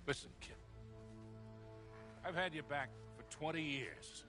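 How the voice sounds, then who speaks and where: An actor playing a gruff, loyal older American mentor in a video game, gravelly and dry, with a weary sardonic edge. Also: male voice, a little high, a little slow, close to an everyday voice. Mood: stern, concerned. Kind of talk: video game cutscene acting. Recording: game soundtrack music behind.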